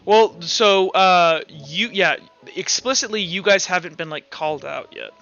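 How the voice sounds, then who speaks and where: High-pitched man running a tabletop game. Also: a little slow, vocal fry, bright, forceful tone, highs cut off, soft background music. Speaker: game master. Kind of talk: tabletop roleplaying session, casual rules talk.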